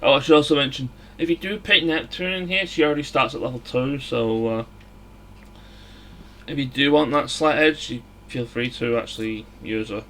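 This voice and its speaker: A man giving casual, somewhat halting video game commentary as a let's play host. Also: mid-range voice, pushed and bright. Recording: music behind the voice. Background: game music.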